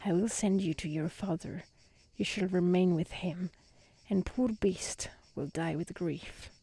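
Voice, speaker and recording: A woman voicing a gentle, lovesick beast in a soft-spoken, mournful, formal storybook reading. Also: lowish voice, measured pace, breathy edge, no echo. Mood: sorrowful devotion.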